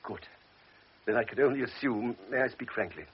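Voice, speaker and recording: An actor playing a calm, authoritative older doctor in a radio drama, speaking in a measured, persuasive way. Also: male voice, mid-range voice, breathy edge. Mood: grave. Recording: muffled old radio recording.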